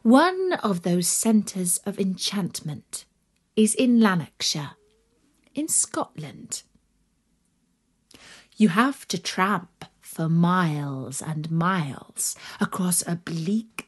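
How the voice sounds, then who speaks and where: A woman narrating a children's story from a script, expressive and dramatic, dropping into breathy emphasis on some words. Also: fairly low pitch, takes it slowly.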